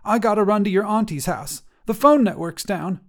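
A man's voice: high-pitched, moderate pace, pitched above the performer's own voice. Old grandma, protective, firm and reassuring, raised voice, man acting her. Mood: hurried.

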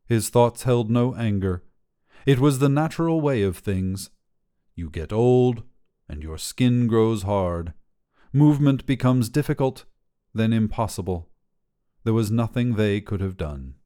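A man reading a short story as its narrator, with a measured, literary storytelling delivery. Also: lowish voice, warm tone, smooth. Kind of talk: scripted fiction narration, storytelling.

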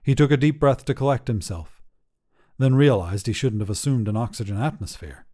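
A man narrating a scripted short story as a podcast host, in an expressive storytelling manner. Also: mid-range voice, fairly quick.

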